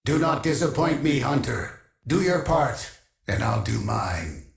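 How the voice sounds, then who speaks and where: Imperious, echoing archive keeper. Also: male voice, medium pitch, sing-song, creaky. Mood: stern, demanding. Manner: formal, measured, pompous, grandiose delivery. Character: obsessive, imperious male keeper of a digital archive. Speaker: video game quest-giving character. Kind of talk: scripted video game character dialogue.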